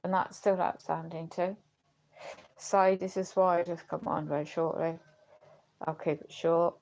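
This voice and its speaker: A woman livestreaming in a rambling, halting way, earnest and questioning, her voice going as if she is unwell. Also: fairly low pitch, fairly even intonation, darkish tone, poor audio. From United Kingdom. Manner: halting, searching for words. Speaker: live streamer. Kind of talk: rambling personal livestream talk.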